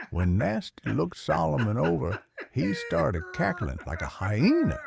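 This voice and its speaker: A man with a Southern US accent reading a children's storybook aloud in a folksy, expressive way. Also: fairly high pitch, measured pace, vocal fry. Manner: folksy, animated.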